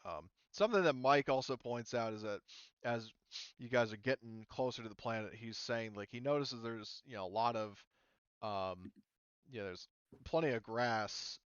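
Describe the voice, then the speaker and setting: A man running a tabletop game as game master in casual speech, often halting and thinking aloud mid-sentence. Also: mid-range voice, fairly bright tone, clean tone, no echo, dull audio.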